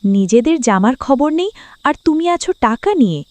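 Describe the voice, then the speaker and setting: A woman's voice: high voice, big pitch swings, moderate pace, a little mellow, no echo. A woman playing a practical, caring village wife and mother in a cartoon, chiding yet consoling. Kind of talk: cartoon dialogue and story narration.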